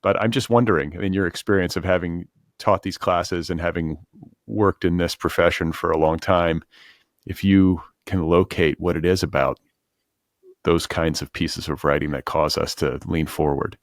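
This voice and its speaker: A man's voice: sits low, fairly even intonation, normal speed, no room sound, studio quality. A man hosting a podcast in a casual interview style, musing informally and circling around his point. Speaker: podcast host.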